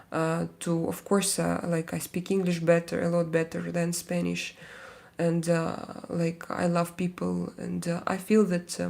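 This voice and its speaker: A woman from Russia speaking English with a Russian accent, hosting a casual live stream and chatting with viewers, hesitant and full of pauses and fillers. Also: on the low side, gravelly, darkish tone. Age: adult with young kids. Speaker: livestream host.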